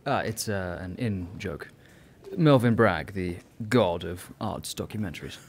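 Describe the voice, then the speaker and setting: An actor in a radio play playing an ambitious young privately schooled receptionist, polite and slyly insinuating. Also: male voice, low voice, a little slow, a little hiss or hum. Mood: polite, teasing. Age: young adult.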